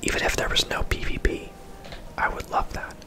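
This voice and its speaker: A person whispering casual, chatty game commentary in an ASMR style, rambling off into asides, close to the microphone. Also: moderate pace. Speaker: video game streamer and host.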